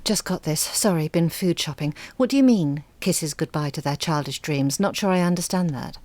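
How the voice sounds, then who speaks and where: A reserved woman played by an actress in an audio drama, gently firm with an undertow of sadness. Her voice is low and dry, with measured, articulate, understated delivery. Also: dry recording, natural voice. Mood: cool, doubtful. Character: reserved, selfless single mother, gently firm and sad.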